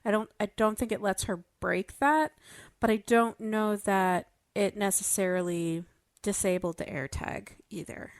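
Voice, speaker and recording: A woman's voice: mid-range voice, measured pace, no echo. Explaining woman in a dry, close recording. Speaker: podcast panelist. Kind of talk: casual explanatory discussion of a fiction podcast.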